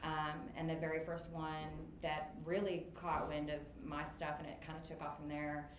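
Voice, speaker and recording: Woman in her thirties, low voice, casual chat, roomy webcam sound, audible background noise. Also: completely flat delivery, normal speed. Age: 30s. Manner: chatty, lots of fillers, laughs easily. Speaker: guest, makeup artist business owner. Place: indoor room, on a video call.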